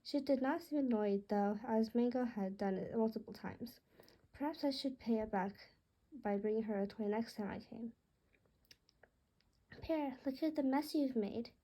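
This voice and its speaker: Woman reading her story out loud, kinda high voice but soft-edged and mellow, trips on words sometimes. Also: moderate pace, low-quality recording. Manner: occasional stumbles and self-corrections while reading. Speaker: author reading own book aloud. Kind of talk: read-aloud story narration.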